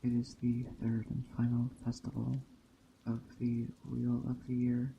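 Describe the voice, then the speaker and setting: A man speaking softly and deliberately in an ASMR roleplay as a gentle witch, often slipping into a whisper. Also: medium pitch, completely flat delivery, vocal fry. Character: gentle pagan witch teaching a traveler about a holiday. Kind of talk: ASMR roleplay, soft-spoken character monologue. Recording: dull muffled audio, faint ambient noise. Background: soft nature ambience of rain and forest.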